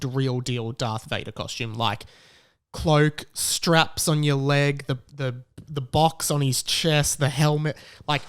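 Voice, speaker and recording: A man's voice: mid-range voice, smooth. Chatty Australian man telling a casual story. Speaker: podcast host.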